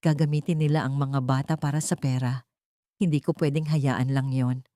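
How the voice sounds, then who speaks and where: Wronged young housemaid acted by a woman narrator, weak yet resolute, hushed, mellow and low. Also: steady tone, moderate pace, no room sound, clean studio sound. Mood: quiet determination.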